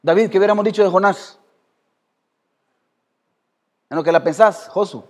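A man's voice: high voice, fast talker, darkish tone. A man with a Salvadoran Spanish accent preaching a sermon as pastor in a church, talking conversationally and calling on members of the congregation by name. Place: church hall.

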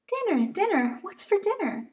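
A teenage girl voicing a small, excitable boy bunny in a storybook reading, repeating his words in a sing-song way. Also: very high voice, moderate pace, voice pushed up. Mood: eager.

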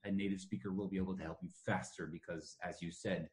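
Man, low-pitched, hesitant, casual conversation, teacher. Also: steady tone, rapid-fire, darkish tone. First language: English. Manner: hesitant, many fillers and restarts. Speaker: language teacher.

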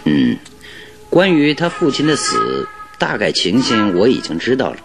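A man's voice: fairly low pitch, wildly swooping pitch, normal speed, vocal fry, soft background music, exaggerated voice. A man acting in a radio drama as a confident, teasing master detective, with a theatrical, self-assured delivery. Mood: courteous, composed.

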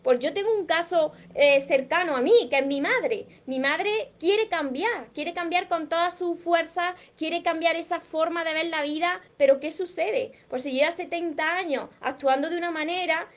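A woman with a Spain Spanish accent and a notably high voice leads a live self-help stream. She explains things in a teacherly, earnest way, often repeating herself for emphasis and turning to her viewers' comments. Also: moderate pace, hardly any pauses. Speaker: personal growth coach.

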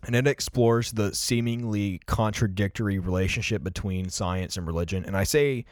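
A man's voice: lowish voice, moderate pace, no echo, studio quality. A man in his early twenties talking casually and reflectively on a podcast, explaining his thoughts with plenty of "like" and "you know". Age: early 20s. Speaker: podcast co-host discussing favorite films.

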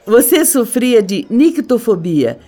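A woman's voice: a little high, big pitch swings, takes it slowly, dark tone, soft background music, full character voice. A woman playing a stern mother in a radio drama, scolding with emphatic, formal diction. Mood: condescending, reproachful.

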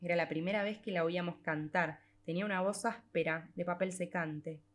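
A woman with a slightly low voice narrates a piece of literary fiction. She reads from a script in a measured way, drawing out certain phrases as an audiobook storyteller does. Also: steady tone.

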